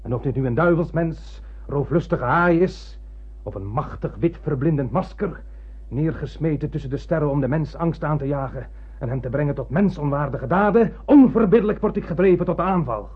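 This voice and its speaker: An obsessed spaceship captain in a radio drama. He declaims grandly and intensely in a husky, fairly high voice, heard through a dull recording. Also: lively intonation, normal speed. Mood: brooding obsession. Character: obsessed, commanding spaceship captain hunting a monster. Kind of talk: dramatic radio play acting.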